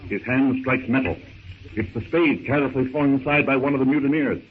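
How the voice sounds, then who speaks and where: A man's voice: mid-range voice, brisk, narrow, tinny audio, close to an everyday voice. A man narrating a 1930s radio adventure serial in a theatrical, suspenseful, declamatory manner. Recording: thin, noisy 1930s radio recording.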